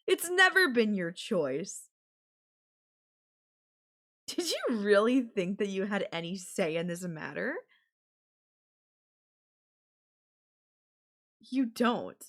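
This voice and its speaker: A possessive yandere girlfriend, acted by a woman for an audio roleplay, with a mid-pitched female voice. She takes her time over her words, sweetly condescending and sarcastic, with menace under everything she says. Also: sing-song, fairly bright tone, heavily acted. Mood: mocking, angry. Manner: slow, sarcastic, sweetly condescending with veiled threats. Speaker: voice actor.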